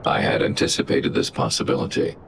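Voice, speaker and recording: A man voicing a large, protective robot in a video game, speaking in a formal, measured, unemotional way with a gravelly quality. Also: noisy background, natural voice. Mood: calm, logical. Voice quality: very deep, gravelly. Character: large protective male robot, calm, coldly logical. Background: background music.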